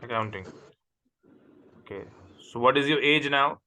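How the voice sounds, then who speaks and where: A man from Pakistan, a native Urdu speaker, speaking as an accounting tutor and patiently interviewing a student over a video call. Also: mid-range voice. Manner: patient, repeats questions slowly. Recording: noisy call audio.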